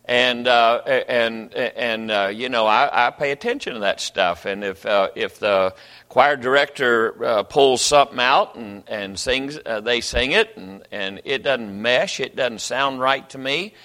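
A man's voice: mid-range voice, unhurried, pushed and bright. Folksy preacher man in a ringing hall. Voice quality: folksy, rambling with many restarts. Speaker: pastor. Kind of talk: sermon. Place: church sanctuary.

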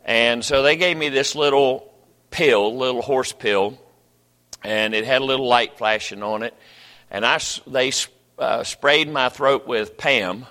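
An older pastor speaks from the pulpit in a bright, forceful voice. His talk is folksy and rambling, full of false starts, and it rings through a large church hall with a long echo. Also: mid-range voice, a little slow. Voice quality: folksy, rambling with many restarts. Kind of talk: sermon. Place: church sanctuary.

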